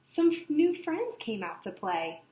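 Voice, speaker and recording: A woman reading a children's picture book aloud with expressive, dramatic emphasis. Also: on the high side, measured pace. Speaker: storybook reader. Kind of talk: animated children's read-aloud narration. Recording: echoey room, slight background noise.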